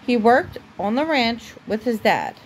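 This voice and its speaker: A woman reading a children's storybook aloud as a mother would at bedtime, with deliberate pauses. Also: a little high, very animated, a little hiss or hum.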